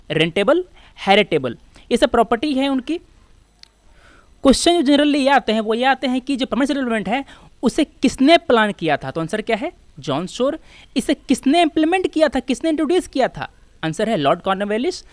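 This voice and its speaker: A woman's voice: fairly high pitch, no room sound. A woman with an Indian accent lecturing as an exam-prep teacher, explaining step by step with rhetorical questions and repeating key phrases for emphasis. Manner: switches between Hindi and English.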